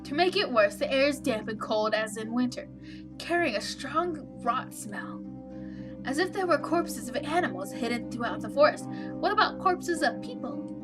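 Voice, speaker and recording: A woman's voice: high-pitched, animated, normal speed, music in the background. A woman hosting a let's play, reading a visual novel aloud and adding wry, opinionated asides.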